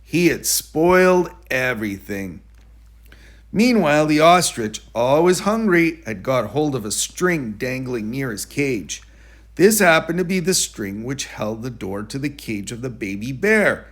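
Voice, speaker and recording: Man, children's story read-aloud, expressive narration, highly varied intonation, medium pitch. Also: unhurried. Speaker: storybook reader.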